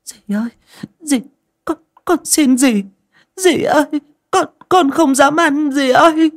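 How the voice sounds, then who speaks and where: A man's voice: fairly high pitch, steady tone, takes it slowly, dark tone, voice pushed up. A man voicing a timid, mistreated girl of seven or eight in a story, pleading and tearful. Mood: terrified pleading.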